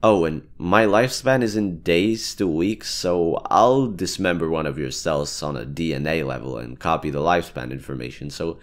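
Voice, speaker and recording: A man's voice: a bass voice, moderate pace, hardly any pauses. A man voicing a chatty brain-eating amoeba who bargains persuasively and explains things casually in a one-sided audio roleplay. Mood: casual, friendly.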